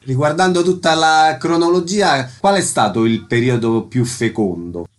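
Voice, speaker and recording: A man's voice: fairly high pitch, normal speed. A man hosting a radio interview, speaking in prepared questions and short announcements.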